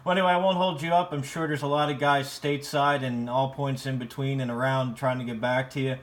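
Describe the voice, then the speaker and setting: An American man chatting casually as an amateur radio operator, with halting, repeated phrasing. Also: medium pitch, flat delivery. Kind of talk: casual ham radio conversation.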